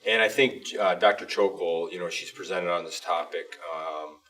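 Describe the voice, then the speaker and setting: A man's voice: sits low, normal speed, fairly bright tone, far from the mic. A man talking casually and conversationally as co-host of an interview show. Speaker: podcast co-host, school superintendent.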